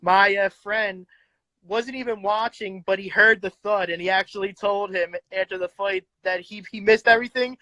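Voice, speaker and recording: A man hosting a casual boxing interview, his voice pitched high with a bright, forward edge. He talks chattily, laughs easily and fills pauses with "um" and "like". The sound is close and dry. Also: fairly even intonation, no room sound, poor audio. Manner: chatty, quick to laugh, lots of fillers. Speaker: interview host, boxing fan.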